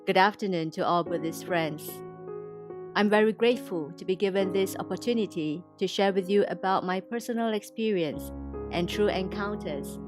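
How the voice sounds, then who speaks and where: A woman from Indonesia reads a scripted inspirational testimony in a formal, measured way. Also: medium pitch, music in the background. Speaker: testimony speaker.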